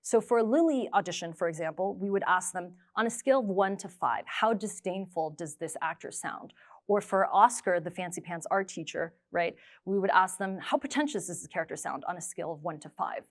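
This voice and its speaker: A woman's voice: lowish voice, fairly quick. A woman in her thirties giving a prepared conference presentation on a lapel mic. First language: English. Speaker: creative producer, presenter. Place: indoor studio stage. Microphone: clip-on lapel mic.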